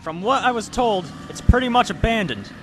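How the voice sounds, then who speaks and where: A man's voice: high voice, expressive, moderate pace. A man playing an eager, deferential army private in an amateur wartime radio drama. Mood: urgent, deferential. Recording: noisy amateur recording.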